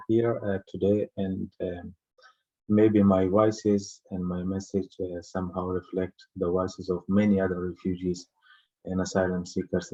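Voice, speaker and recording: A man in his thirties with an Afghan accent speaks in a very deep voice. He gives testimony haltingly, often searching for his next word. The sound is dull and muffled, like an online call. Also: warm tone. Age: 30s. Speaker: invited speaker, refugee advocate. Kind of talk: personal testimony at an online assembly.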